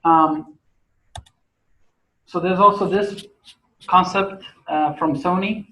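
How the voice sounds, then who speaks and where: A man's voice: high voice, steady tone, takes it slowly, pushed and bright. A man from Portugal with a Portuguese accent, giving a casual, chatty university lecture with many fillers. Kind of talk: classroom lecture. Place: lecture hall. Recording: echoey lecture hall recording.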